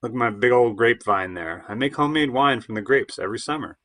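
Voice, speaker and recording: A man's voice: medium pitch, quick pace, fairly bright tone, lightly acted. Man acting a flustered, defensive guy in his thirties. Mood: proud, defensive. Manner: hesitant, stammering, defensive. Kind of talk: audio play dialogue.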